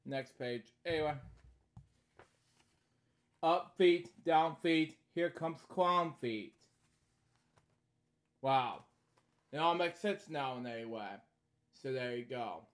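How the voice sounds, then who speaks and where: A man in his thirties hosting a bedtime story series, reading a children's book aloud in short halting lines with casual, repetitive asides. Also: a little high. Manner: halting, repeating stock phrases between short read lines. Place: small indoor room at home.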